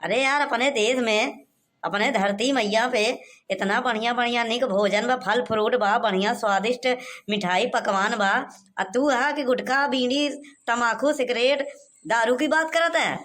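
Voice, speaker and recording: A woman's voice: on the high side, measured pace, fairly bright tone. A woman voicing a preachy, good-natured village elder man in a comic cartoon, with an Awadhi accent. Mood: scolding.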